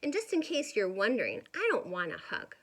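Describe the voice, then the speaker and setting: A woman voicing a grumpy, prickly male cactus who yells at everyone, in a read-aloud storybook. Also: medium pitch, big pitch swings, fairly quick. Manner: yelling, bossy.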